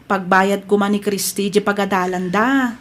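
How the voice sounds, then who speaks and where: A woman's voice: mid-range voice, steady tone, moderate pace, fairly bright tone, lightly acted. A woman acting a dutiful, hardworking married elder sister in an Ilocano audio drama. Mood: earnest.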